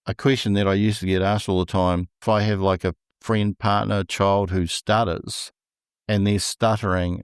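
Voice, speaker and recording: A low, gravelly male voice with a New Zealand accent, talking slowly and deliberately with a stutter. He comes across as thoughtful and easygoing as a podcast guest. The recording is dry and close. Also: no room sound. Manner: slow, deliberate speech with a stutter. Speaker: podcast guest, public speaker. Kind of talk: casual interview conversation.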